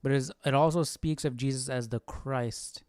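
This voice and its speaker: A man's voice: mid-range voice, steady tone, moderate pace, a little mellow, dry recording, clean studio sound. A man hosting a livestream study, thinking aloud in a casual, questioning way. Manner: questioning, musing. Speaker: host of Bible study livestream.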